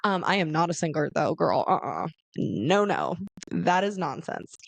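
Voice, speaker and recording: A raspy young woman from Arizona hosting a casual solo podcast, chatty and laughing often as she talks to her listeners. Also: low-pitched, very animated, a little slow, no room sound. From Arizona, USA. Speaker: podcast host.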